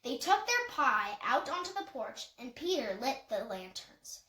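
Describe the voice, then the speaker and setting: A woman's voice: high-pitched, big pitch swings, a little slow, bright, forceful tone, roomy sound. A woman reading a novel aloud as scripted audiobook narration. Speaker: audiobook reader.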